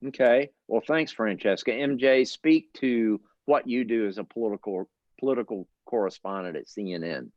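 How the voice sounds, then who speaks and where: A middle-aged man moderating a virtual panel discussion, polite and measured, with small restarts. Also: lowish voice, no room sound, highs cut off. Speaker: host, institute director.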